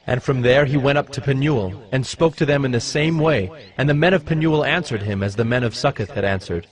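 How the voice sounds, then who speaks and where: A man narrating dramatized scripture in a solemn, measured storytelling manner. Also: mid-range voice. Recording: clear music behind the voice.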